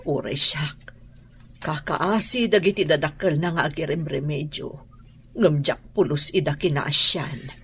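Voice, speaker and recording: A woman's voice: deep voice, a little slow, slight background noise. A woman playing a humbled, regretful middle-aged mother in an Ilocano radio drama, with an Ilocano Filipino accent. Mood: troubled.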